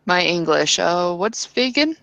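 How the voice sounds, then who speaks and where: A woman voicing a shy female werewolf who is unsure of her English, speaking in hesitant, halting questions. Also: fairly low pitch, very animated, gravelly, fairly bright tone, no room sound, heavily acted, low-quality recording.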